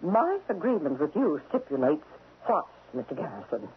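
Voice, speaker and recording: An actress playing an imperious, wealthy widow in a radio drama, sharp and unyielding, with precise, haughty, formal diction. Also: female voice, deep voice, very animated, moderate pace, slightly rough, exaggerated voice. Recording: muffled lo-fi old radio broadcast.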